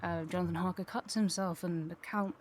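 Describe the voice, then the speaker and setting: A woman's voice: fairly low pitch, fairly even intonation, brisk, darkish tone, slight background noise. A woman talking enthusiastically and a little digressively in a conversational panel interview. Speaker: voice actor and tutor.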